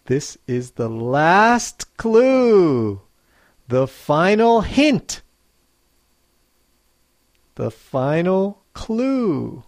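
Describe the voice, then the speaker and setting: A teacher hosting a guessing quiz for learners, speaking very slowly and spacing every word. Each clue is read twice with a lively, rising and falling pitch. The sound is dry and close, with no room around it. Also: no room sound. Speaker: English teacher. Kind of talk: slow quiz dictation for learners.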